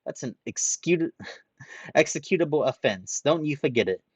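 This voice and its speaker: A man reading a story aloud, voicing a loud, boastful young man who picks fights and brags. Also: medium pitch, animated, no room sound, lightly acted. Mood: brash, indignant. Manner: shouting, bragging, quick to pick fights.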